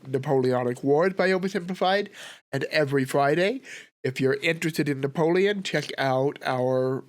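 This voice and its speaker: A man with a sore throat from a cold, hosting a history reaction with relaxed, rambling commentary. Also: medium pitch. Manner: relaxed, rambling, thinks aloud with fillers. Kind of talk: casual commentary.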